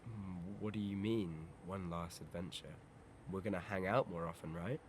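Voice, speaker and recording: A man's voice: sits low, normal speed, a little hiss or hum, close to an everyday voice. A man playing a sincere, reflective teenage boy in an audio drama. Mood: concerned. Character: Peter, wistful teenage boy attached to his best friend.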